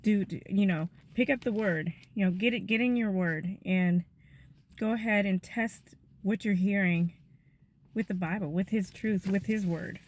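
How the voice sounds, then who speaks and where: A woman, giving a gentle, chatty devotional talk to her viewers. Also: medium pitch, normal speed. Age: 50s.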